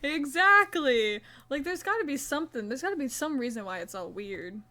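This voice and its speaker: A young woman with a fairly high voice talks to her live-stream chat while crocheting. She is loose and self-mocking, and she swears casually as she goes. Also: expressive, moderate pace, pushed and bright.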